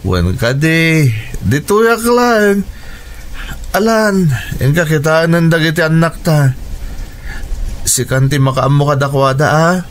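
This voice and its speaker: Slow-talking, dejected Ilocano husband. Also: male voice, on the high side, animated. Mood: apologetic, maudlin. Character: jobless, dejected husband and father who drinks. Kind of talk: Ilocano radio drama acting. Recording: noticeable background noise and ambience.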